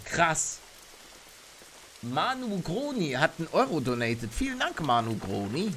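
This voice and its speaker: A young man streaming a game live, chatting with his viewers and reacting loudly, close to a studio mic at home. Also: fairly high pitch, measured pace, slight background noise. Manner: chatty, reads chat aloud, bursts into excited shouts. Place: home streaming room, indoors. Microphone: studio mic on arm near face.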